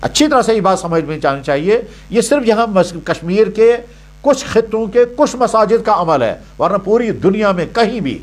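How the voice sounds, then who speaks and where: Man, high voice, religious teacher, didactic, emphatic, repetitive phrasing, question-and-answer sermon. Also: expressive, normal speed. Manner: didactic, emphatic, repeats points in parallel phrases.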